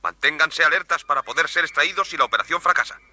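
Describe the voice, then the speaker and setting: Sharp, bright commanding man. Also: fairly high pitch, fast. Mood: alarmed. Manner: clipped, authoritative orders. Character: tense, commanding male mission chief in a laboratory. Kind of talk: radio drama acting.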